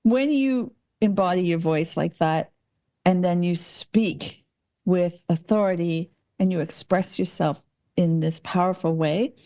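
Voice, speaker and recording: A woman's voice: fairly low pitch, measured pace, mellow. A woman hosting a podcast interview, casually chatting and searching for her words mid-thought. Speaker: podcast host.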